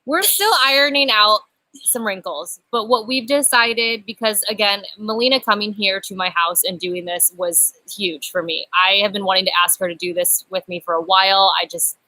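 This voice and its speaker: A woman's voice: medium pitch, normal speed, no echo, low-quality recording. A young woman hosting a casual live stream from an outdoor patio, chatty and upbeat, picked up by a laptop webcam. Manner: chatty, upbeat, self-described loud voice. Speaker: channel host.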